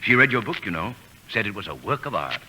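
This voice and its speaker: Flustered college dean, voice all over the place, sharp, a bit hissy, old radio comedy. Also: male voice, on the low side, big pitch swings, fast. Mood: genial. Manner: stammering, polite, exclamatory. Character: flustered, incredulous male university dean. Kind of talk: 1940s radio comedy acting. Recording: noisy old radio broadcast recording.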